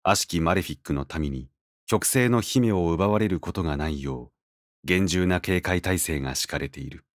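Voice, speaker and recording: A man's voice: sits low, normal speed, dry recording, clean studio sound, close to an everyday voice. A man playing a brooding, serious character who narrates his inner monologue in a fantasy audio drama with restraint. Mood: somber. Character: grave, brooding male narrator in a fantasy tale. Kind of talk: scripted first-person narration.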